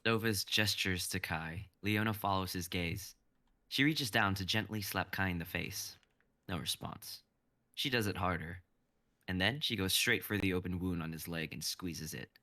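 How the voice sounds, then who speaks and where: A young man in his twenties reading stage directions as narrator of a live script reading, in an even manner. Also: low-pitched, normal speed. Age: 20s.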